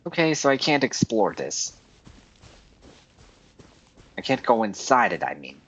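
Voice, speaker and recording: Male game streamer, mid-pitched, casual running commentary, slightly dull lo-fi recording. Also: big pitch swings, a little slow, fairly bright tone. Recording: dull lo-fi voice audio.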